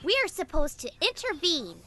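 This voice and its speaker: A woman's voice: high voice, huge pitch swings, moderate pace, pushed and bright, slight background noise, full character voice. A woman voicing an earnest, bookish young pony in a cartoon comedy dub, prim, eager and orderly. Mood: eager, businesslike.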